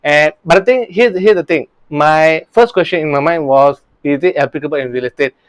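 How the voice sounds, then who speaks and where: A man's voice: fairly high pitch, brisk, dry recording. A man in his thirties from Singapore, a real estate coach talking earnestly about his early career, often restarting his sentences. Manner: earnest, many restarts and "you know, right?" tags.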